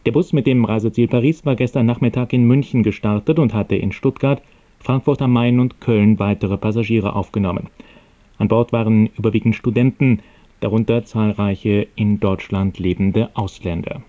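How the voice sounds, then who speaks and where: A man presents the radio news in a low, mellow voice. His delivery is formal and measured, with a neutral tone that keeps to the script. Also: muffled recording.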